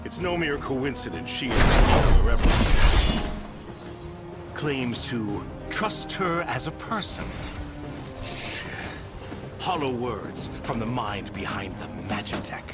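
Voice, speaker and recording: An actor playing a pompous, paranoid imperial commander in a video game, haughty and theatrical with archaic, formal diction. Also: male voice, mid-range voice, expressive, takes it slowly, long pauses. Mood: indignant, suspicious. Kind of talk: dramatic video game villain acting. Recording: loud game music over voice.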